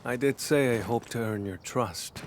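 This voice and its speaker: An actor playing a brooding, solemn male warrior in a video game, earnest and devoted, speaking in measured, formal, hushed and intimate phrases. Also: medium pitch, a little hiss or hum, close to an everyday voice. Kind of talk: video game story dialogue acting.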